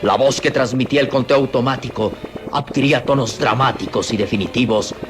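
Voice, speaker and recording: A man's voice: medium pitch, fairly quick. A man narrating a radio serial in a solemn, suspenseful, declamatory scripted style. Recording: radio serial with loud music.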